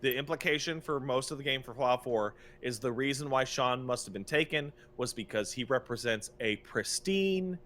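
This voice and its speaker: A man co-hosting a podcast, ranting casually about video games in an exasperated, animated, sweary way. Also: mid-range voice. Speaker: podcast co-host. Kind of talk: casual video game rant.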